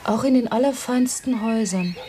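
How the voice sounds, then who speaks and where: A woman's voice: fairly high pitch, moderate pace, noisy background, close to an everyday voice. An actress playing a practical, teasing young country girl in a German radio drama.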